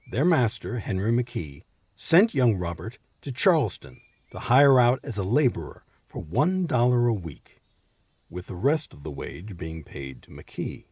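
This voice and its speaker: A man's voice: on the low side, big pitch swings, unhurried, darkish tone, slightly rough, no room sound, muffled recording. A man narrating a scripted history story with emphatic, dramatic phrasing, as the host of the show.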